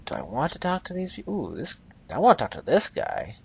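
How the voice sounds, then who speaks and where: A young man playing a video game and chatting over it, sarcastic and rambling, with a raspy voice he calls high. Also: huge pitch swings, fairly quick. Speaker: gameplay commentator. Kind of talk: casual game commentary.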